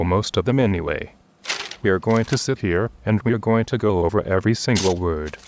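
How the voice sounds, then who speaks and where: A man playing a businesslike man in charge in an audio drama, deliberate and authoritative. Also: fairly low pitch, close to an everyday voice. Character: Michael, businesslike man in charge, running a meeting. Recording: noisy recording with clicks.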